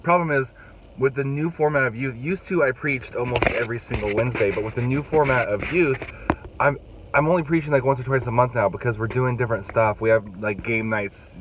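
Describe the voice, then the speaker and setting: A man's voice: medium pitch, fairly bright tone, a little hiss or hum, rough recording. Young man vlogging with a cold. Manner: rambling, restarts and fillers, chatty. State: congested, fighting a cold, voice rough. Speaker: youth pastor. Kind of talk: casual vlog to camera. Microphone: phone held at arm's length.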